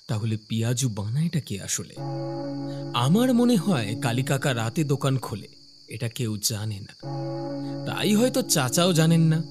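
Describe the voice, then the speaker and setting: A man's voice: medium pitch, natural voice. A man playing a curious, puzzled young office worker new to a village, musing and questioning in a horror cartoon. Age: young adult. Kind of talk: horror cartoon dialogue and inner monologue. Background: faint music.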